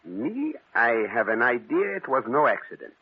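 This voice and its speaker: A courteous, shrewd detective speaks with a French accent in formal, hesitant phrases, his pitch swinging expressively. The voice is husky, heard through a narrow, muffled old radio broadcast. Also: sing-song, normal speed. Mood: shrewd, assured. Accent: French-speaking European. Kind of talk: old-time radio drama acting.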